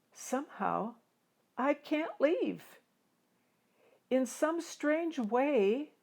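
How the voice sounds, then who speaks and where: A woman's voice: medium pitch, heavily acted. A woman voicing an earnest, determined teenage farm boy who tells his own story in a heartfelt storybook reading. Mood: resolute, wistful. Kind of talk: dramatic first-person storybook reading.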